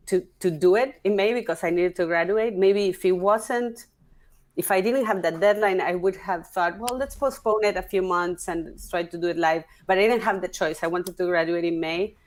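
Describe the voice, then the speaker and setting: Lady in her 40s, kinda low voice, stops and restarts a lot while she thinks, easygoing chat. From Argentina. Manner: halting, restarts often, thinking aloud. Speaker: guest, opera singer and stage director. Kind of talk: casual interview conversation.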